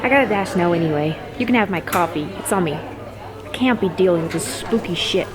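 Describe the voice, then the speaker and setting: A woman's voice: fairly low pitch, animated, brisk, close to an everyday voice. A woman playing a blunt, casual young friend who is uneasy and exasperated, in a conversational audio drama. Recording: busy crowd murmur behind.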